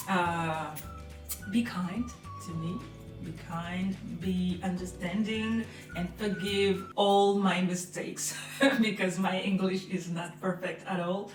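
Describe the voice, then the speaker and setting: Young woman, French accent, hesitant casual vlog chat, lower voice, echoey room. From France. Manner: hesitant, many fillers, soft laughs. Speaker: vlogger. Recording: roomy, mic at a distance. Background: music behind.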